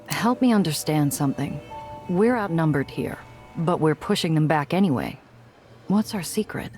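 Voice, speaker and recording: A woman's voice: sits low, expressive, moderate pace, darkish tone, faint music behind. An actress playing a wry, streetwise woman protagonist in a narrative video game, with a dry delivery. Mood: curious, dry.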